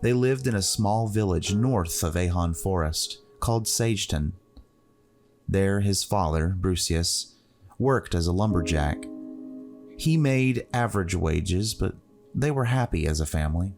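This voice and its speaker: A man with a very deep voice narrates a scripted fantasy tale. Music plays clearly behind his reading. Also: a little slow, a little mellow.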